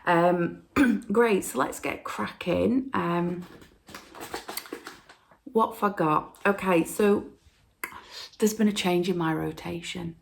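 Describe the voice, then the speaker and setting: A woman hosting a casual stitching video from home, chatting in a rambling way with lots of fillers, and sounding hoarse from a cold. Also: lowish voice, slow pace, long pauses. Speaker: flosstube host. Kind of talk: casual chat to camera.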